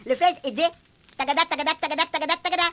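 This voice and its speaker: Woman playing an earnest, naive African man, high-voiced. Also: very fast, pushed and bright. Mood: eager, muddled. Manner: earnest, repetitive, muddled storytelling. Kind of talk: comic radio serial acting.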